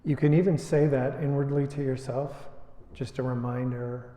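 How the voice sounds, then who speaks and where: A man leading a guided meditation as a teacher, speaking in short unhurried phrases with long pauses. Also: medium pitch, steady tone, mellow. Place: indoor retreat space before a group. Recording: echoey, reverberant room.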